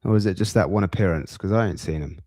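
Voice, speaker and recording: Man, slightly low pitch, dry close sound, casual podcast host, halting musing delivery. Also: dark tone, dry recording. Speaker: podcast host reacting to clips. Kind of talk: casual reaction commentary.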